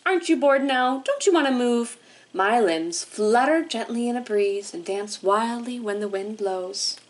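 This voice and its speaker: A woman voicing a lively, boastful tall pine tree in a children's storybook, with a showy manner. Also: a little high, unhurried. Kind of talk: children's storybook read-aloud.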